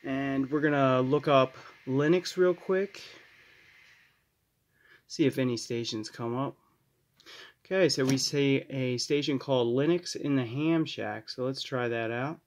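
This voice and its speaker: A man's voice: mid-range voice, a little slow. A man hosting a casual hands-on tech tips video, talking in an upbeat, recommending way and repeating his points. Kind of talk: casual hands-on app demonstration. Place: indoors at a desk.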